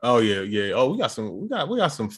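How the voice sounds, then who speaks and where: A man's voice: medium pitch, huge pitch swings, fast, fairly bright tone, breathy edge. A man co-hosting a podcast, chatting casually in slangy, laid-back banter. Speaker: podcast co-host. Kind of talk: casual chat.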